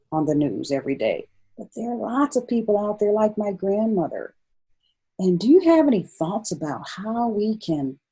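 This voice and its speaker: A woman's voice: medium pitch, dark tone, slightly rough, poor audio. A woman hosting an interview in casual conversation, speaking earnestly and repeating words for emphasis. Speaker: host, interviewer.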